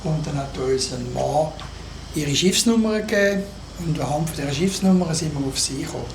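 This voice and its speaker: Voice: medium pitch, expressive, fairly quick, vocal fry. Echoey Swiss German police officer. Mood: official. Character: formal, questioning Zurich police officer. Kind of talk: Swiss German radio play dialogue. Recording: echoey room with background noise.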